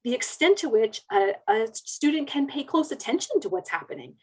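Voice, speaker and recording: A woman's voice: on the high side, big pitch swings, fairly quick, darkish tone, slightly rough. A woman presenting research in an explanatory webinar lecture, carefully laying out ideas in plain terms.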